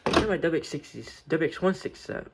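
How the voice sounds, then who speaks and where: A man's voice: fairly high pitch, expressive, a little fast, gravelly. A man giving a casual, unscripted show-and-tell in an informal, mumbly way, recorded at home. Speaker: hobbyist showing a weather radio collection. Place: room at home. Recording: noisy home recording with clicks.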